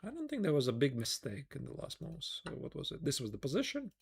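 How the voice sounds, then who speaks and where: A man's voice: fairly high pitch, wildly swooping pitch, quick pace, gravelly, slightly rough. A man with a Latvian accent giving casual live chess commentary, thinking aloud in short phrases and questions.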